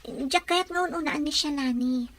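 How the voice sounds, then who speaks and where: Young female confidante, very high voice, concerned and careful, Ilocano accent, radio drama woman performer. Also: a little slow, fairly bright tone, a little hiss or hum. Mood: guarded. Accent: Ilocano Filipino.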